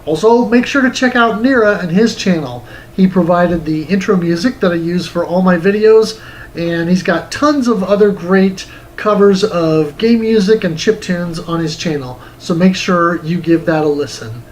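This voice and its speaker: A man with a raised, fairly high voice chats casually to the viewers of his game review show. His delivery is conversational and slightly hesitant. Some background noise sits under the voice. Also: normal speed. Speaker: host of a retro video game review show. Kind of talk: casual unscripted sign-off to viewers.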